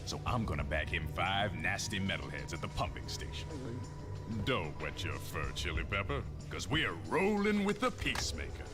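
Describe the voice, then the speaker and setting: A very low, lively, swaggering hunter taunts like a hired gun in a game cutscene, with music behind. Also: male voice, normal speed. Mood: taunting swagger. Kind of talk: video game cutscene acting.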